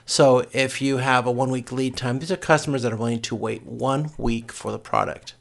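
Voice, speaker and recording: A man giving a recorded lecture as a course instructor, explaining step by step in a halting way and often correcting himself. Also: medium pitch, vocal fry.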